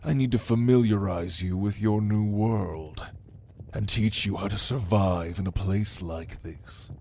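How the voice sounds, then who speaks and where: Man playing a slow, imperious demon lord. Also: lowish voice, gravelly, darkish tone, a little hiss or hum. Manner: slow, imperious, theatrical, menacing. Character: proud, commanding male demon lord mentoring a newborn. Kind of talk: ASMR roleplay acting addressed to the listener.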